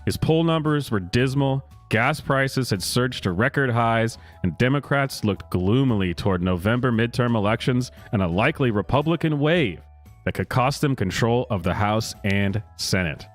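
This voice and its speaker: A man reading a news story aloud as a commentary host, mock-dramatic, with sarcastic asides and theatrical pauses. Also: medium pitch, lively intonation. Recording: music playing behind the voice. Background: music.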